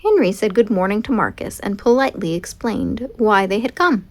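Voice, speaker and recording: A woman reading a picture story aloud for children in an expressive narrating style. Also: medium pitch, moderate pace. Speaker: storybook reader.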